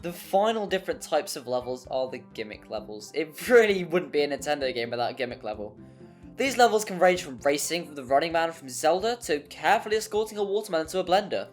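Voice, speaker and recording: A narrator delivers a scripted game review in a mid-pitched voice. The delivery is wry and prone to exaggeration, with some mild swearing, and music plays behind. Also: big pitch swings, fast. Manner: wry, mildly sweary, hyperbolic. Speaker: video essay narrator. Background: background music.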